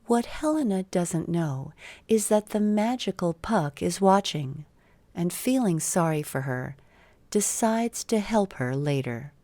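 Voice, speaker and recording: A woman narrating a scripted bedtime story for children in a measured, simple storytelling way. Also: on the low side, animated, mellow, no echo.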